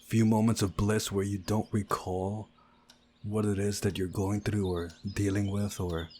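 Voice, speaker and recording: A man reading a visual novel aloud as a playthrough narrator, in a deliberate storytelling manner. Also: low-pitched, darkish tone, a little hiss or hum.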